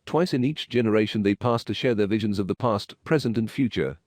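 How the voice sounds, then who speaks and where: A man playing a dignified, mystical alien high priest in a video game, speaking in a stately, ceremonious and deliberate manner. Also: a little mellow, no room sound, studio quality, natural voice. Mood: reverent.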